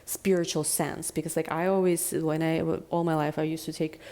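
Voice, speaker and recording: A woman giving a casual tarot reading in a deep, chesty voice, rambling and reflective with many fillers. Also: flat delivery, moderate pace, dark tone. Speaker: tarot reader.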